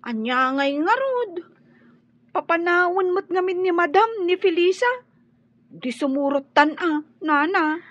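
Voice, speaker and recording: A woman's voice: very high-pitched, animated, a little slow, dry recording. An actress with an Ilocano accent playing a young, plaintive and loyal servant woman in a radio drama. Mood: insistent. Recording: dull, dry lo-fi studio recording.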